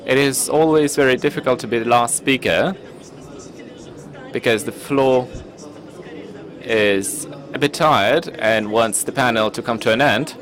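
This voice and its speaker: A man from Turkey whose first language is Turkish, giving a formal, measured panel presentation at a conference and sometimes searching for words. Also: mid-range voice, a bit bright. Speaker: panel speaker presenting his city. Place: conference hall.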